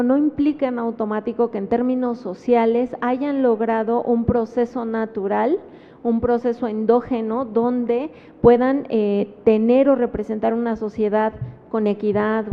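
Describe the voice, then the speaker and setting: A woman with a Mexican Spanish accent gives an academic talk at a book presentation, pausing often and adding tag questions. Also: fairly high pitch. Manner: thoughtful, with frequent fillers and tag questions. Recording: phone-like sound with long hall reverb.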